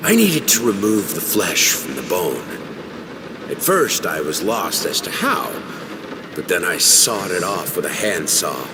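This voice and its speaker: An actor playing an obsessive, tormented painter in a horror game, with a theatrical delivery that moves between tenderness and rage. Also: male voice, deep voice, very animated, a little slow. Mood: haunted, grim. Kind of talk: dramatic horror game acting. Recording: long cavernous reverb, heavy background noise.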